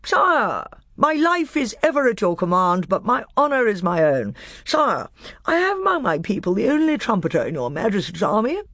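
A narrator voicing a tiny male mouse warrior with a shrill voice, courtly, formal and fiercely proud. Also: big pitch swings, normal speed, a bit bright, no room sound, studio quality. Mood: proud, aggrieved. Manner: courtly, formal, touchy about honour.

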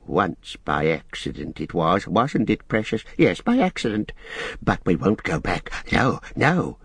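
A man's voice: low voice, wildly swooping pitch, measured pace, husky, no room sound, heavily acted. A man voicing a wretched, cunning, self-pitying creature with a strained whine, repeating phrases and calling itself we, in an audiobook. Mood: agitated. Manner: hissing repetitions, speaks of himself as we.

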